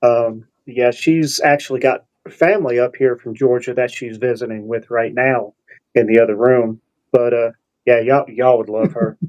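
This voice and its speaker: Man from upstate New York, relaxed guest on a video call, unhurried talk. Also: medium pitch, dull audio. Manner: easygoing, repeats words, uses "y'all" and "you know". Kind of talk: casual chat on a video call.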